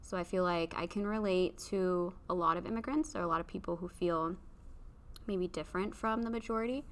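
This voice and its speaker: A woman's voice: fairly low pitch, a little fast. A young woman from Canada, a podcast guest, talking openly and reflectively in a casual interview, laughing easily.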